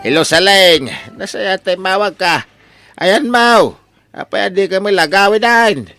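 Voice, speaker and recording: A man's voice: sits high, a little slow, sharp tone, soft background music, heavily acted. A man with a Filipino accent playing a stubborn, blustering old father in a comedy radio drama, full of exclamations. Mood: excited, surprised. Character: excitable, stubborn old father smitten with a young bride.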